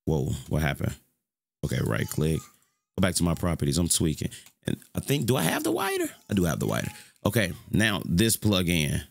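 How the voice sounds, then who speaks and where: A young man with a deep voice giving a loose, rambling, slangy tutorial on his streaming setup from his home room. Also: huge pitch swings, moderate pace, vocal fry, no echo. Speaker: streamer showing mic settings. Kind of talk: casual screen-recorded tutorial.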